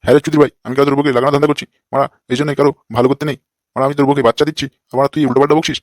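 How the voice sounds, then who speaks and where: A man's voice: medium pitch, level tone, racing, dry recording, clean studio sound, lightly acted. A man voicing a crude, lecherous fake holy man in a comic cartoon, with a brash, scolding manner. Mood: self-righteous.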